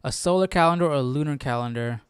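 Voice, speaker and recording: A man hosting a Bible study livestream, casually thinking aloud and reading articles out loud in an inquisitive, informal way. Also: on the high side, quick pace, clean tone, dry recording, clean studio sound. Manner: inquisitive, informal, talks to himself.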